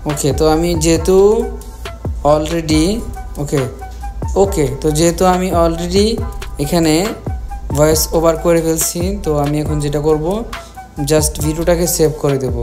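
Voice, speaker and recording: A man with a Bangladeshi accent giving step-by-step software instructions as a tutorial host, repeating his phrases as he goes. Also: fairly high pitch, level tone, moderate pace, pauses often. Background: music.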